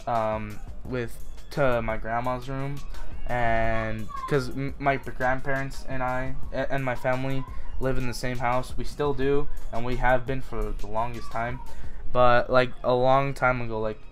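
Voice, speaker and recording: A man's voice: medium pitch, flat delivery, unhurried, fairly bright tone, faint music behind. A young man from Utah answering viewer questions in a casual, rambling vlog, full of fillers and restarts.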